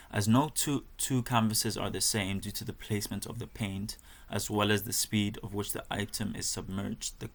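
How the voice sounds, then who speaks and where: A young man, a fine art student, reading through a presentation of his work in a measured way with some fillers and restarts. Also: fairly low pitch, a bit bright.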